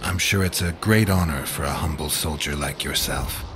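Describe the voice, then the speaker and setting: A man voicing a stoic, gruff monster hunter in a video game, asking questions in a measured, terse way. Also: very deep voice, a bit bright, slight background noise. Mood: dry, probing. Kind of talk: video game dialogue acting.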